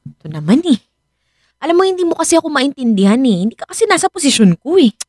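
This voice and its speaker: Defensive Filipino wife, radio drama, highly animated, close dry sound. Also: female voice, quick pace, no echo. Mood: anxious. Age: 30s. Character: anxious, defensive wife in her thirties, rattled by her ex.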